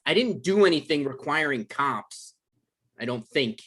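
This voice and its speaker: Male, comedy script read: actor as naive, defensive novelist, highly animated pitch. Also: unhurried, slightly rough, heavily acted.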